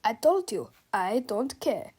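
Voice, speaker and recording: Brash, gloating male villain, played by a woman reader: high voice, excitable, cocky, loud storybook delivery. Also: slow, slightly rough, exaggerated voice. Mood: defiant.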